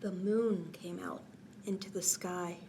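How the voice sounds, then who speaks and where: A woman hosting a children's bedtime storytime, reading a picture book gently and expressively with casual asides, often dropping to a near-whisper. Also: on the low side, steady tone, slow, gravelly, slight background noise, low-quality recording.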